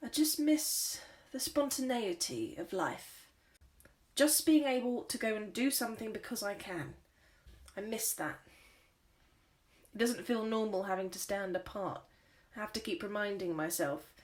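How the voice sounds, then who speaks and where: A woman's voice: mid-range voice, expressive, measured pace, close to an everyday voice. Actress as wistful, frustrated woman. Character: frustrated woman longing for spontaneity. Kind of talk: scripted diary monologue.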